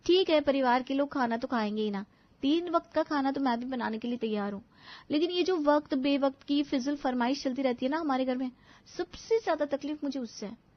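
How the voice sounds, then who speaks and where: A woman voicing an exasperated, sharp-tongued young daughter-in-law in a cartoon family story, complaining emphatically and sarcastically. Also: high voice, a little fast, dull audio, close to an everyday voice. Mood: irritated complaint. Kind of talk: animated family story acting.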